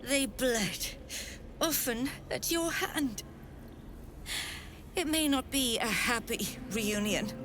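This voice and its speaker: Over a music bed comes a haughty middle-aged cult mother superior, acted by a woman, in a fantasy game. The delivery is slow, imperious and theatrical, with cold taunting menace in each deliberate phrase. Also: medium pitch, close to an everyday voice. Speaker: villain in a fantasy video game. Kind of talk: theatrical video game dialogue.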